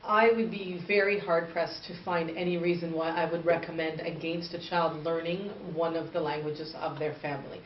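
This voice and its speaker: Low-voiced woman from far off. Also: steady tone. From northern Canada. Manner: earnest, measured, explanatory. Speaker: guest, bilingual education expert. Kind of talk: interview answers. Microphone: far from the mic. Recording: distant, echoey, muffled with faint noise.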